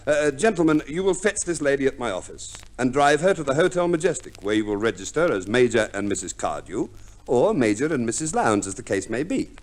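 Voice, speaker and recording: A man acting a fussy solicitor from an old radio play. His phrasing is formal, unctuous and scrupulously precise, with small hesitant hums, and his pitch rises and falls in very animated sweeps. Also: fairly quick, close to an everyday voice. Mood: smoothly instructive. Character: fussy, pompous English divorce lawyer, scrupulously proper.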